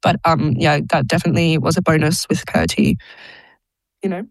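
A woman's voice: low voice, very animated, a little fast, no echo, low-quality recording. Chatty young Australian woman, dry sound. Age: young adult. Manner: chatty, laughs often, many fillers like "like" and "um". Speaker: international student, interviewee. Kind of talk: casual interview.

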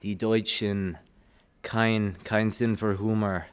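A young man speaks slowly in playful, hesitant German. His voice is low and smooth. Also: level tone. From Ireland. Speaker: exchange student guest.

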